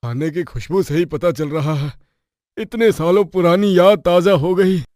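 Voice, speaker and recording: A man's voice: high-pitched, a little slow, a little mellow, no room sound, clean studio sound. A man playing an appreciative village family man in a cartoon story. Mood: delighted, nostalgic.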